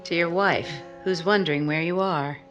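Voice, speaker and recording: A woman's voice: fairly low pitch, normal speed, music in the background, natural voice. A woman acting in 1950s-style radio drama as a worldly, forward single woman at a bar, teasing and direct.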